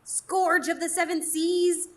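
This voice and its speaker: A woman's voice: very high voice, flat delivery, slow. A woman playing a flighty, ageless fairy in a radio drama, teasing and childish in manner. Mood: scatterbrained, cheeky. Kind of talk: live radio drama acting. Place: performance space in front of a live audience.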